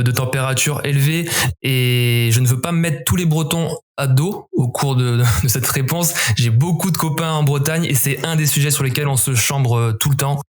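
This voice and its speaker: Reflective French man giving interview answers. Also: medium pitch. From southern France, near Montpellier. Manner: measured, reflective, with frequent restarts. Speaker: guest, former special forces member.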